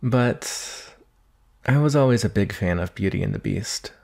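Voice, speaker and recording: Slow-talking, shy young naga roommate played by a man. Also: lowish voice, lively intonation, vocal fry, darkish tone. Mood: shy, friendly. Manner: hesitant, nervous, rambling, apologetic. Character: shy, earnest nineteen-year-old male naga monster roommate. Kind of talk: ASMR roleplay, soft conversational acting.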